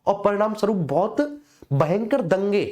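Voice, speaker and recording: A woman's voice: medium pitch, moderate pace, dark tone. A woman teaching an exam coaching class informally, explaining didactically and often repeating herself to check that students follow. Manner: didactic, repetitive, checking understanding with frequent tag phrases.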